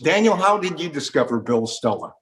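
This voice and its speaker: A man's voice: a little high, sing-song, fairly quick, a bit bright. A man hosting a panel interview, courteously prompting guests with questions. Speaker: interview host.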